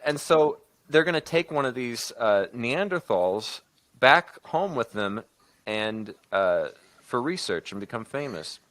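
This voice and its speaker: A man's voice: medium pitch, very animated, a bit bright, dry recording. A man on a podcast talking casually through a story idea as a co-host, often backtracking and rewording as he goes. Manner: halting, often backtracking and rewording mid-sentence. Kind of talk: casual creative discussion, improvised story pitch.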